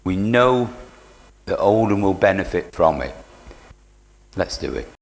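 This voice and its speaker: A man's voice: sits low, lively intonation, unhurried. An older man, giving an unscripted live interview. He is plain-spoken and earnest, with a dry wit. The sound carries the long reverb of a hall. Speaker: community radio station manager, interview guest. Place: radio studio stage in an indoor market.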